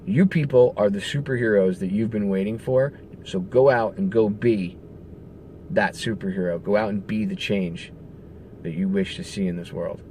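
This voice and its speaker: A man's voice: fairly low pitch, moderate pace, warm tone. Emphatic ranting man over background noise. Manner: emphatic, conversational, profane. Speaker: radio show host. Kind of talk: casual political rant to camera.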